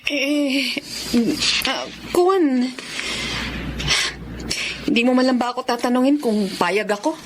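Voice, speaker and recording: A woman's voice: sits high, slow pace, long pauses, background noise. A Filipino woman playing a timid, battered wife and mother in a radio drama, hesitant and pleading.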